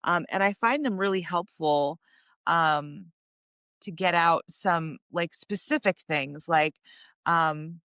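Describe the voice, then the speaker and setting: A woman's voice: lowish voice, slow pace, fairly bright tone, clean tone, dry recording, studio quality. A woman talking as a podcast guest in a chatty way, full of "um" and "like". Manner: chatty, lots of "um" and "like". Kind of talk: casual podcast conversation.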